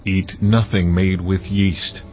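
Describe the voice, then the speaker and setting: God, low solemn commanding man. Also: little pitch movement. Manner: measured, solemn, instructing. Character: God, solemn authoritative male deity giving commandments. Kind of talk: dramatized scripture reading. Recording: dull lo-fi audio under music.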